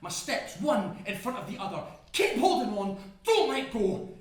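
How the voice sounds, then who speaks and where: An actor playing a desperate oil rig worker fleeing a fire, with intense, emphatic delivery in a dramatic monologue. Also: male voice, sits high, animated, normal speed. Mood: urgent, breathless. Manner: intense, emphatic, swinging into screams. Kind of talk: dramatic first-person stage monologue. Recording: very echoey room.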